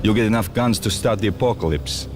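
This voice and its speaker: An actor playing a sly arms-dealing mobster in a game, talking with wry, showy gangster bravado. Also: male voice, fairly low pitch, quick pace, natural voice. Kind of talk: game cutscene dialogue. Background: music.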